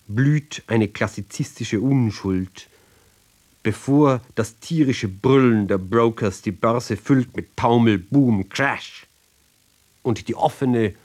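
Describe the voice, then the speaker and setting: A man giving a measured literary recitation for radio, speaking deliberately in short phrases with pauses between them. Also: mid-range voice, darkish tone. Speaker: reader.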